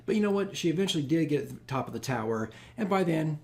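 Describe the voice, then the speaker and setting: A man telling a casual, animated story as a game master on a vlog, rambling and repeating his phrases. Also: on the high side, fast talker, creaky, slightly rough. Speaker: tabletop game master recounting his campaign.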